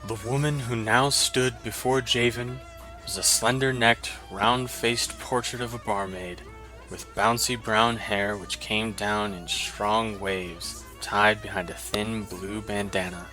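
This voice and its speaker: A man reads a fantasy tale in a low but bright, forward voice. His telling is even and descriptive. Soft music plays faintly behind him. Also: slow pace, rough recording. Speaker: narrator. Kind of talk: scripted story narration.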